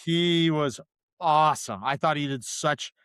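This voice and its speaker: A man's voice: on the high side, unhurried, fairly bright tone, smooth, no echo, clean studio sound. A man co-hosting a podcast, talking casually about films and repeating himself for emphasis. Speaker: podcast co-host. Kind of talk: casual film review chat.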